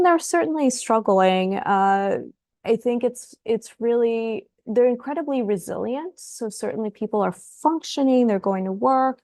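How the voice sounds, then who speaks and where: Creaky-voiced woman, podcast guest. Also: medium pitch, a little mellow, no echo, clean studio sound. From Ukraine. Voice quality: thoughtful, repeats words as she starts sentences. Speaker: guest psychologist. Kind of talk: podcast interview.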